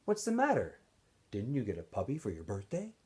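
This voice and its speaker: A man's voice: medium pitch, wildly swooping pitch, a little fast, mellow. A man voicing a cold, sarcastic woman warden in a storybook reading. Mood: cold sarcasm. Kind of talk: storybook character voice.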